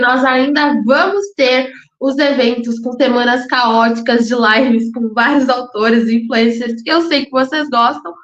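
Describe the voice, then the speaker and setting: High-voiced upbeat woman podcast host. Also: a bit bright. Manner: upbeat, chatty, repeats words for emphasis. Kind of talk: casual interview chat. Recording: echoey, dull lo-fi recording.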